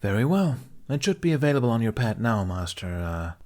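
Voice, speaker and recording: A man's voice: mid-range voice, sing-song, a little fast, a little mellow, close to an everyday voice. A man voicing a tall, genial male mentor with a polite, conversational and mildly exasperated manner in an audiobook. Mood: curious, businesslike.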